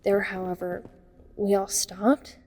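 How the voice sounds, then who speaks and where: An actress plays a frightened young woman narrating a horror audio drama in the first person. Her voice is mellow and relaxed in timbre, and her pitch stays in a narrow, level band. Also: slow, slightly rough. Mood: grim. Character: frightened young woman recounting being trapped in horror story.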